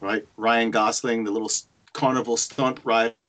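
A man of medium pitch gives feedback over a video call. He talks casually and haltingly, thinking aloud and building his point in short phrases. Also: flat delivery, fairly bright tone. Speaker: table-read participant giving feedback. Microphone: video-call microphone.

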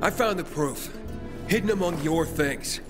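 A man's voice: on the high side, a little slow, natural voice. An actor playing a tough young male survivor in a video game, blunt, confrontational and accusing. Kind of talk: dramatic video game acting. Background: game music.

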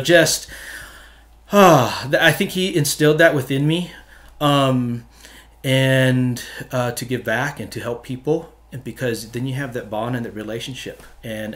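A man's voice: mid-range voice, fairly bright tone. Middle-aged guy from hawaii, photographer chatting earnestly into a desk mic, lots of restarts, small studio. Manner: earnest, talks with his hands, many restarts. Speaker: photographer guest. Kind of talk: casual interview answers. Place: small home studio room. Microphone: desk mic on stand.

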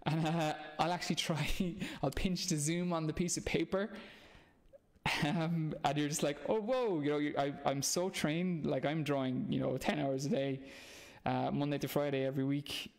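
A chatty Irish man in his thirties talks viewers through a drawing as he works, encouraging and relaxed in manner. His voice sits a little high for a man and carries a gravelly edge. He speaks into a webcam at his desk in a small room at home. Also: normal speed. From Ireland. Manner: chatty and encouraging, thinking aloud while drawing. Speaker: comic book artist teaching drawing. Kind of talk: live online drawing lesson.

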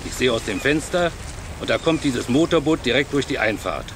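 A gruff harbourmaster played by a man gives a witness account in a radio play. He is blunt and excitable, with a mid-pitched voice and clear water noise behind him. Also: brisk, lightly acted. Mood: agitated, blunt. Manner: blunt, colloquial, clipped phrases. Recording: noisy waterside sound effects behind.

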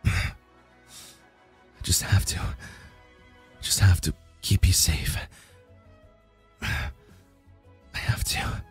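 A man voicing a flustered, brooding but tender young warrior in a romantic roleplay ASMR, husky and halting, close to the microphone. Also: low-pitched, steady tone, faint music behind. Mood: tender, pleading. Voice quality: husky, breathy. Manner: halting, stammering, sighing.

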